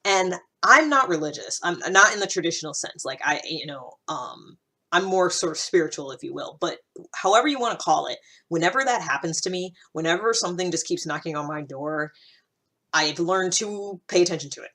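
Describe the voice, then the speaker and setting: A woman's voice: on the low side, animated, brisk, bright, forceful tone. A woman host talking through ideas in a casual, reflective monologue, conversational and emphatic, repeating phrases to drive them home. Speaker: host of a personal development podcast.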